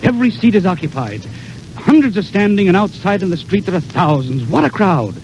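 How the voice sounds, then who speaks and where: High-voiced man, dramatic old-time radio announcer. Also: big pitch swings, brisk, darkish tone. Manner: dramatic, theatrical showman delivery. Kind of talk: 1930s radio show narration. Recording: noisy, muffled 1932 radio recording.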